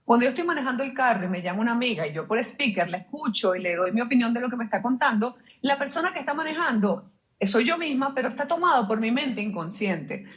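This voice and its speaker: A woman's voice: on the high side, fairly bright tone. A woman guest explaining her ideas fluently in an interview, emphatic and full of rhetorical questions. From Venezuela. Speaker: guest, mindset mentor.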